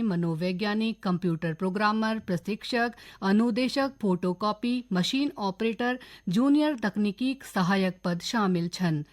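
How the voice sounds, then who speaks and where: A woman newsreader with a Kumaoni accent, reading a scripted regional radio bulletin in a steady, formal way. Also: medium pitch. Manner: steady, formal news delivery.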